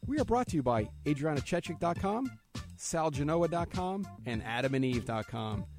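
A man's voice: a little high, sing-song, normal speed, music in the background. A man hosting a podcast from a studio, brash and joking, swearing freely as he reads out plugs and chats with guests. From New Jersey. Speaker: podcast host. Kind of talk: casual podcast chat with read-out plugs.

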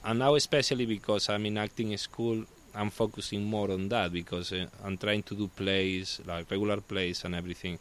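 A man from Spain with a Spanish accent, talking as a guest in casual chat, halting and restarting as he searches for words. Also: on the low side, steady tone, a bit bright.

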